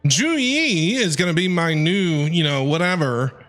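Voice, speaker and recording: Bright, high-voiced male game streamer. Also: expressive, a little slow. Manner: enthusiastic, talks back to game characters. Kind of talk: casual game-stream reaction commentary. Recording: stream commentary over game music.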